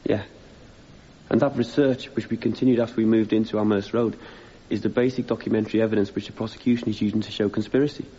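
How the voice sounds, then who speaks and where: An actor playing an earnest young activist giving plain, thoughtful testimony as a defendant in a radio drama. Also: male voice, fairly low pitch, mellow, breathy edge. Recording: dull, faintly noisy radio broadcast copy.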